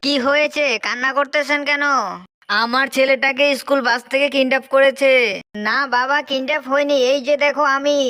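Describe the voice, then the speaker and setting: A woman voicing excitable cartoon characters in exaggerated skits, with a scolding, exclamatory delivery. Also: sits high, a little fast. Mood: concerned. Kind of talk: exaggerated cartoon skit voice acting. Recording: loud background noise with clicks.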